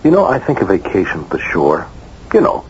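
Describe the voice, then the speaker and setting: An actor playing a reassuring, gently firm doctor and family friend in an old-time radio drama. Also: male voice, low voice, wildly swooping pitch, a little fast. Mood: soothing, firm. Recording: muffled, noisy old radio recording.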